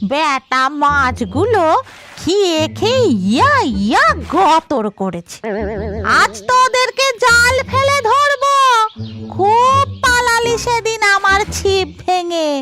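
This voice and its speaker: A woman voicing a grumbling, greedy fish-loving witch in a cartoon, muttering to herself in drawn-out colloquial speech. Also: extremely high pitch, exaggerated voice. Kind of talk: cartoon character acting.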